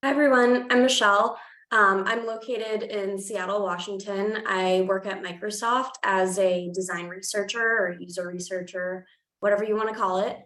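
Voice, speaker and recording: A woman researcher introducing herself on a panel, upbeat and filling pauses with "um"s. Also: medium pitch, steady tone, fairly bright tone. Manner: upbeat, lots of "um"s. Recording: echoey room.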